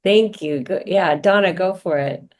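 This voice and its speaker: Slow, gentle, low-pitched woman host. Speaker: podcast host and astrology teacher. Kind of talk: conversational podcast interview.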